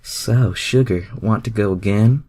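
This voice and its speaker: Man playing a flirty fan-fiction superheroine, bold, sultry, pushy, raised pitch, husky voice. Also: unhurried, warm tone. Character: bold, flirty adult woman from a superhero story.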